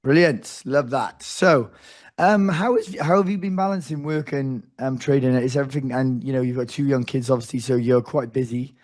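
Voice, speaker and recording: Hoarse male host, casual and rambling, restarts often. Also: mid-range voice, normal speed, darkish tone. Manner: chatty, rambling, full of restarts. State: losing his voice. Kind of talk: casual interview.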